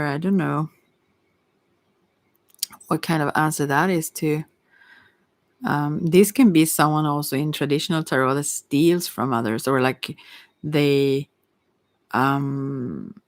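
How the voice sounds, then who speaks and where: A tarot reader who speaks English as a second language, rambling through an unscripted ASMR reading in halting phrases that often trail off. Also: mid-range voice, no echo. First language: not English.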